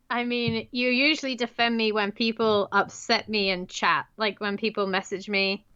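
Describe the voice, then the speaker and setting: Slow, giggly young woman on a muffled mic. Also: on the high side, bright, forceful tone, clean tone. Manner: giggly, teasing, reads chat comments aloud. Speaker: stream co-host. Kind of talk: casual livestream chat. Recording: dull, slightly muffled mic.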